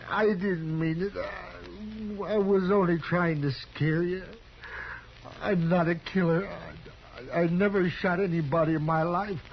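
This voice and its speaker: An actor playing a timid, frightened young man in a 1950s western radio drama, halting and pleading as he speaks. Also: sits high, fairly even intonation, gravelly. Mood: tearful, afraid. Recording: muffled old radio recording, faint hiss.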